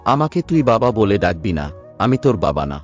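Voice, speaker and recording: Give me a man voicing a scornful cold father in a cartoon, low and animated. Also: normal speed, soft background music. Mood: harsh. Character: harsh cold father who rejects daughter.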